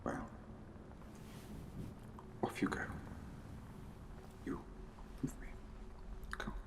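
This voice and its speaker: A performer voicing a stern, sardonic potions professor in a whispered ASMR roleplay, slow, deliberate and condescending, with clipped commands. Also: distant mic. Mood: stern, commanding. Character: stern, sardonic potions professor interrogating students at wizard school.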